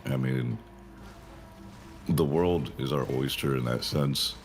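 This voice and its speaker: A man's voice: rumbling low, takes it slowly, faint music behind. A man who works as a game developer, answering interview questions in a rambling way, thinking aloud with lots of fillers.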